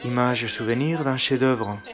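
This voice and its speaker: A man's voice: medium pitch, measured pace. Man narrating, music behind. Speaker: narrator of a radio essay. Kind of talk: scripted essay narration on cinema.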